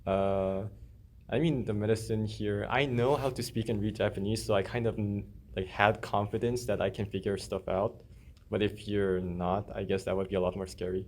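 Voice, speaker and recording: A man's voice: on the low side, steady tone, normal speed. A young man from South Korea, a native Korean speaker, chatting casually as a student interviewee. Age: young adult. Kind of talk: casual chat.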